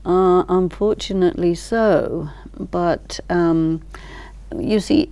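An older woman explaining ideas thoughtfully as an interview guest, in short measured phrases with deliberate pauses. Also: on the low side, dark tone. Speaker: expert guest, teacher. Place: large library hall, indoors. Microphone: lapel mic.

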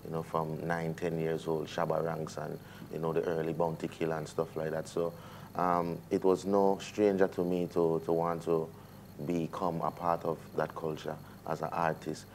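A man's voice: very deep voice, moderate pace, slight background noise. A man in his thirties from the US Virgin Islands with a Jamaican-tinged Caribbean accent, chatting loosely in a TV studio interview with many fillers. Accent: Caribbean English, Jamaican-influenced. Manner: relaxed, hesitant, many fillers. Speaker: reggae artist guest. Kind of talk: casual interview chat. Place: TV studio set.

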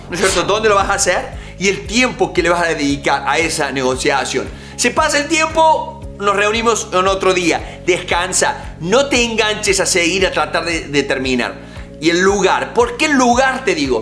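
A man, coaching viewers on negotiation straight to camera in an emphatic, animated way. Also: high voice. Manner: emphatic, animated, gestures with hands. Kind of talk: direct-to-camera coaching talk. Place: home office with bookshelves and art.

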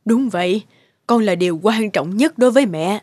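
A woman voicing an anxious, overprotective middle-aged mother in an animated moral tale, with a fretful, earnest manner. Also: mid-range voice, moderate pace, husky, no echo. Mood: defensive.

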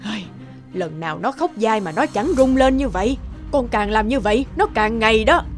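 A woman's voice: on the high side, lively intonation, normal speed, soft background music, lightly acted. A woman voicing a scolding, dismissive old grandmother in a cartoon, grumbling as she talks. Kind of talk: cartoon voice acting.

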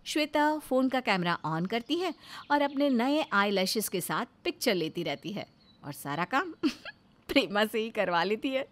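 A woman's voice: fairly high pitch, expressive, unhurried, fairly bright tone. A woman narrator reading a scripted family story.

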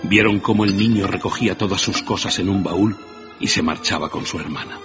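Very deep male narrator. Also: brisk. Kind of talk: scripted dramatic storytelling narration. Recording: muffled radio broadcast with prominent music.

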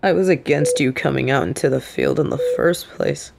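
A woman's voice: very deep voice, moderate pace, vocal fry, noisy background, exaggerated voice. A woman acting a fiercely protective female field agent in an audio roleplay, scolding someone she loves in an emotional, emphatic way. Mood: hushed, tender. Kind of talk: intimate dramatic audio roleplay monologue.

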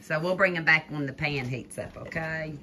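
Low-voiced older woman behind the camera. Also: moderate pace, background noise. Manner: gentle, coaxing, asks tag questions. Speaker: vlogger filming a grandchild cooking. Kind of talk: casual chat behind the camera. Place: home kitchen, indoors. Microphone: phone camera held by the speaker. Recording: kitchen clatter of pots and dishes.

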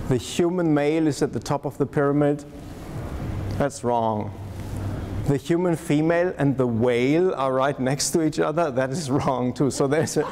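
Wry man lecturing over clear background noise. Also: on the high side, darkish tone. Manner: wry, conversational asides. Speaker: theologian giving a conference lecture. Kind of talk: conference lecture with slides. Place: darkened lecture hall at a podium, audience seated. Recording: noisy lecture hall recording.

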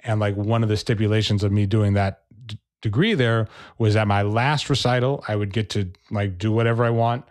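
A man speaking as a podcast guest, a relaxed storyteller in casual interview chat. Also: fairly low pitch, fairly quick. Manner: relaxed storyteller, acts out quoted people. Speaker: percussionist and educator, podcast guest.

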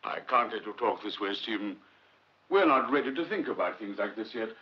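An actor playing a cautious, responsible professor in a 1950s film drama, measured, formal and firm. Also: male voice, on the low side, lively intonation, close to an everyday voice. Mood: concerned, firm. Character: cautious, responsible male professor heading rocket project. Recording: muffled 1950s film soundtrack.

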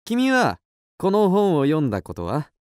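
An actor playing a cool, self-assured young detective in a radio drama, explaining things deliberately and theatrically. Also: male voice, a little high, wildly swooping pitch, dry recording, clean studio sound. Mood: confident, explaining. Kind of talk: Japanese radio drama acting.

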